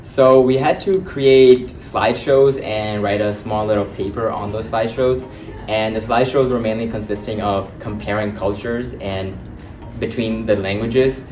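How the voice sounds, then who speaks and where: A man's voice: lowish voice, fairly even intonation, normal speed, darkish tone. Teen guy, roomy distant sound, music behind. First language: Hindi. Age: late teens. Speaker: high school senior. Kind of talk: interview answers.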